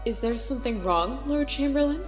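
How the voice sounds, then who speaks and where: Woman playing a high-voiced, gracious pony princess. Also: lively intonation, moderate pace, soft background music. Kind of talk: dramatic fan fiction reading.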